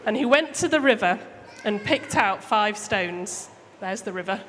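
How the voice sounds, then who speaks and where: A woman telling a Bible story to children live at church, theatrical and emphatic with deliberate phrasing. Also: medium pitch, expressive, fairly bright tone. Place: large room, live church service. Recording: echoey hall, clear background noise.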